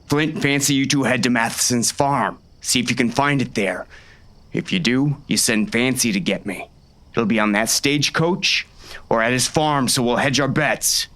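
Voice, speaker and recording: An actor playing a hard, commanding western gang boss, stern and clipped, in an audiobook. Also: male voice, mid-range voice, moderate pace, fairly bright tone, close to an everyday voice. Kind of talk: first-person western audiobook narration and dialogue.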